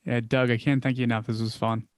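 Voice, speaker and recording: A man's voice: medium pitch, flat delivery, fast, clean tone, no echo, clean studio sound. Philly-accented man, chatty guest. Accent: Philadelphia. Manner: chatty, many fillers and restarts, trails off quietly. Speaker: podcast guest, founder. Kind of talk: casual interview answers.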